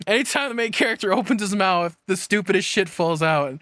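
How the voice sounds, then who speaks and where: A man's voice: high voice, expressive, a little fast, bright, forceful tone, dry recording. A man giving casual, opinionated commentary, rambling and swearing bluntly as he goes. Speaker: streamer reacting to a video.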